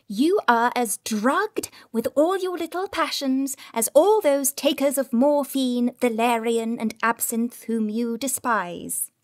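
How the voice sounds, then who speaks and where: An actress playing a proud, intense, wounded lover and poet in an audio drama, with dramatic, expressive delivery. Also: female voice, on the high side, slow pace, hardly any pauses, dry recording, studio quality. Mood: bitter, reproachful. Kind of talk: theatrical audio drama acting.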